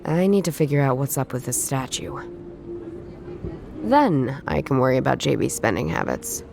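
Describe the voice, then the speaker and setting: An actress voicing a sharp-witted, wry former detective who thinks aloud in a noir visual novel game. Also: female voice, rumbling low, animated, moderate pace, gravelly, background noise, heavily acted. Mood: dry, wry. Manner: wry, musing, dry. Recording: voice under prominent background music. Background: music.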